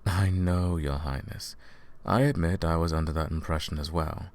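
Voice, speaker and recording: Guy voicing a slimy royal advisor for fantasy asmr roleplay, really low creaky voice, courtly n patronizing, fake sympathy. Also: fairly even intonation, moderate pace, darkish tone. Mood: condescending consolation. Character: smooth-tongued scheming royal advisor, falsely sympathetic, condescending. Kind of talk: fantasy audio roleplay, ASMR-style address to the listener.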